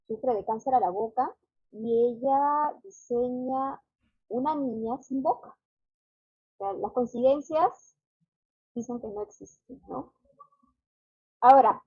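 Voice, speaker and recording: A woman's voice: sits high, dark tone, husky, dull audio. A woman in her thirties chatting casually as a co-host, often seeking agreement with tag questions. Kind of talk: casual chat.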